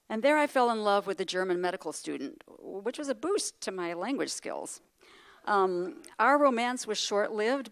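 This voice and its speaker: An older woman, a retired professor, reads a prepared speech at a luncheon. Her voice sits a little low, and she tells her life story thoughtfully. She adds wry asides and rhetorical questions along the way. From United States. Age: 60s. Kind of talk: prepared speech read to an audience.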